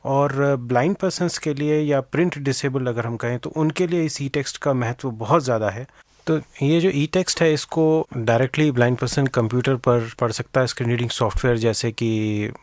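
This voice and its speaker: A man's voice: medium pitch, brisk, no room sound. A man with an Indian accent giving methodical explanatory interview answers, mixing English phrases into his speech. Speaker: interview guest, organisation director.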